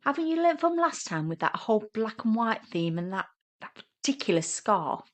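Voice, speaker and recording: A teasing younger sister, played by a woman narrator in a romantic comedy audio story. She is sarcastic and immature. She bickers in an excitable, mocking way. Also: on the high side, normal speed, voice pushed up.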